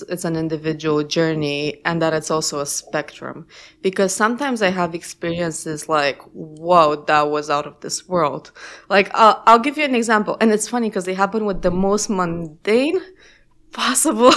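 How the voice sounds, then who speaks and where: A woman with a lower-pitched voice whose first language is Romanian speaks in a relaxed, reflective conversation. She tells her stories animatedly, often laughing and restarting her sentences. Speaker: guest.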